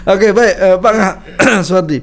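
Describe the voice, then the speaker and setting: A man's voice: high voice, a little slow, a bit bright. A man hosting a casual radio interview, chatty and loose with fillers, a bit stuffy from a cold. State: slightly congested with a cold. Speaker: radio talk show host. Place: radio studio.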